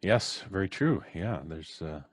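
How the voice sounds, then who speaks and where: A man with a low voice hosts a relaxed, informal live stream. The sound is dry, with very little room in it, and he speaks as a host addressing guests and viewers. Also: measured pace, no room sound. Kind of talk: casual live stream sign-off chat. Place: indoors at home, remote group call.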